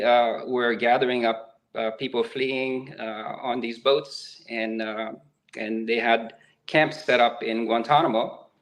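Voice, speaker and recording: A man's voice: medium pitch, poor audio. Reflective Haitian-born man in his fifties. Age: 50s. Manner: reflective, halting with many fillers. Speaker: guest, nonprofit executive director. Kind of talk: casual interview talk.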